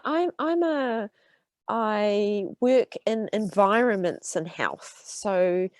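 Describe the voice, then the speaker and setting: Nz woman, stuffed up w a cold, chatty talk w lots of ums, mid pitch, dry sound. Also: no room sound. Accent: New Zealand. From New Zealand. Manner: warm explaining with many fillers and restarts. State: congested with a cold. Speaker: urban health researcher. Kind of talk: talk to students.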